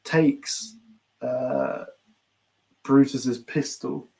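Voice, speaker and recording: A man's voice: mid-range voice, very animated, extremely slow, creaky, mellow, poor audio. A man with a British accent co-hosting a podcast, casually recapping a series and acting out bits of dialogue as he goes. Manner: chatty, acts out quoted lines in passing. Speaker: podcast co-host.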